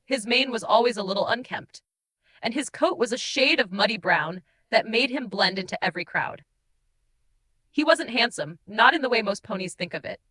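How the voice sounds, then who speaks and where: Woman doing sad changeling girl audiobook, tender n guilty, bright voice, really expressive mournful storytelling. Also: a little high, low-quality recording. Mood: loving, sorrowful. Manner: emotive, mournful storytelling with dramatic pauses. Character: heartbroken shapeshifting changeling woman, guilt-ridden, tender, wistful confessor. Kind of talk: dramatic first-person audiobook narration.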